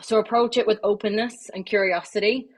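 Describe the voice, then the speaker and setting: A woman's voice: medium pitch, fairly even intonation, fairly quick, poor audio. A woman giving an informal online presentation, chatty, with many fillers and restarts. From not Irish, living in Ireland. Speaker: webinar speaker. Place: online webinar, video call.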